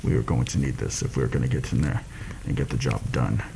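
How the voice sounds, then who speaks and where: A man playing a shrewd, secretive mercenary planner in a soft-spoken ASMR fantasy roleplay, confiding and deliberate in a slightly formal way. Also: steady tone, background noise. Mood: conspiratorial, measured. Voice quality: low, gravelly, soft-spoken. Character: shrewd, secretive male mercenary plotting a royal assassination.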